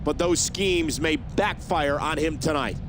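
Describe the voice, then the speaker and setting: Man as wrestling commentator, video game, excitable, high voice, clear ambient noise. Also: a little slow, natural voice. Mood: excited build-up. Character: excitable male wrestling play-by-play announcer.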